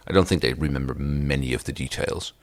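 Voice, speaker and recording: A man with a low-pitched voice co-hosts a casual review podcast. He talks in a conversational, measured way, with some hesitation as he works through his thoughts. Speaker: podcast co-host. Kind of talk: casual TV episode review chat.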